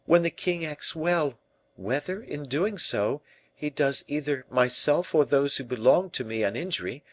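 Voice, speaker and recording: A man's voice: a little high, no echo, voice pushed up. A man voicing an earnest, devoted young noblewoman of a royal court, pleading in formal speech for an audiobook.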